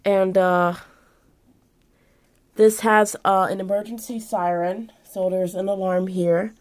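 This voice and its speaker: 20s woman doing a casual show and tell vlog, normal pitch, rambly and informal. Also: fairly even intonation, slow. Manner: rambling, informal, hands-on explaining. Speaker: vlogger showing purchases.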